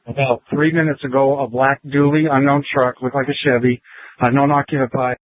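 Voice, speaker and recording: A man's voice: mid-range voice, flat delivery, normal speed, no room sound. A male police officer making a factual report over a police radio. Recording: phone-like police scanner radio audio.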